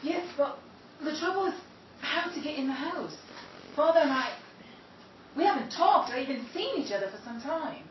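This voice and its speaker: A woman's voice: sits high, animated, normal speed, long pauses, echoey room, recorded from a distance, muffled recording, slight background noise. A woman playing a bitter estranged daughter. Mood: anxious. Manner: indignant, sharp retorts. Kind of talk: 1930s radio drama acting.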